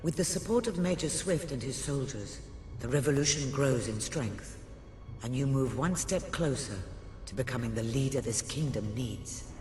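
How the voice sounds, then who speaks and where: A man's voice: mid-range voice, lively intonation, normal speed, a little mellow, roomy sound, soft background music, natural voice. A man playing a solemn advisor in a video game, speaking in a grave, formal, portentous way. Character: solemn male advisor heralding a revolution. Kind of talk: video game cutscene acting.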